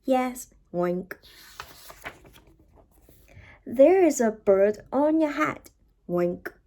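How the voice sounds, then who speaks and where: A woman voicing a calm, helpful little pig from a children's storybook, answering matter-of-factly in short lines. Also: on the high side, very slow, exaggerated voice.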